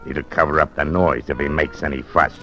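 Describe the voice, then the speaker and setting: An actor playing a menacing male gangster with a croaking low voice, in 1940s radio acting. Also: fairly even intonation, a little fast, fairly bright tone. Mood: bossy. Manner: bullying, sneering orders. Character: gravel-voiced male gangster called Froggy, menacing and sneering. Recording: muffled old radio broadcast with music.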